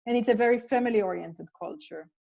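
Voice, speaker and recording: Israeli woman playwright, second-language English, warm low-key tone, muffled video call audio. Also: brisk, vocal fry. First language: Hebrew. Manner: thoughtful, restarts phrases, searching for words. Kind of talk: casual conversation over video call.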